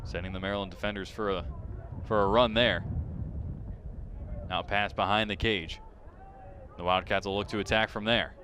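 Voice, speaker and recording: A man calling live sports play-by-play as a broadcast commentator, lifting his excitement when the action peaks. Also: lowish voice, moderate pace, long pauses, noisy background. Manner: excitement rises sharply on big plays.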